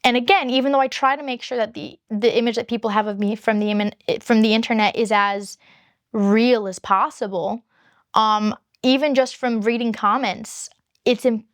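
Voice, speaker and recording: A woman YouTuber with a high-pitched voice, chatting candidly to her viewers and rambling through long explanations. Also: steady tone, moderate pace, no echo. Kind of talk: casual chat to camera.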